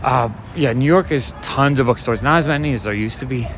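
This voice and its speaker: A man with a mid-pitched, raspy voice talks softly and casually to his viewers as he strolls. He rambles from one thing to the next in an easygoing way. His phone picks up clear street traffic around him on a night-time city walk. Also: a little fast. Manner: casual, chatty, talks to viewers while walking. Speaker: walking vlogger and livestreamer. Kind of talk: soft-spoken rambling walk-and-talk commentary. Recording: street noise and passing traffic. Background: city street ambience and vehicles.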